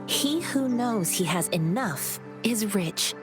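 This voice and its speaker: An actress voicing a calm, kind young woman wanderer in a game, measured and fond of proverbs. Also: medium pitch. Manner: serene, measured, speaks in proverbs. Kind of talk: video game voice acting. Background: music.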